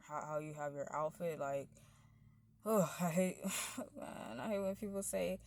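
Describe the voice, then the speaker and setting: A woman with a deep voice, rambling through a casual monologue, padding every phrase with "like" and "you know" while her pitch and loudness wander. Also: unhurried, no echo.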